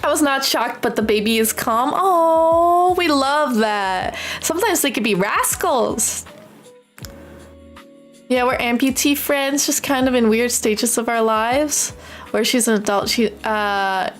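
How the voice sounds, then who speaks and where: Young american girl streamer just chatting, high pitched voice, gushy and expressive, some music in the background. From United States. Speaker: live streamer. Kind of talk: casual livestream chat.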